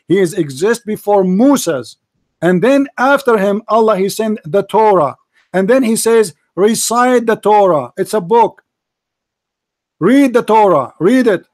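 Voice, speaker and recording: Man, high-pitched voice, dry close sound, mocking, combative debater. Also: no echo. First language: Arabic. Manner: mocking, combative, repeats himself, laughs at opponent. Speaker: debate host. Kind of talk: heated religious debate.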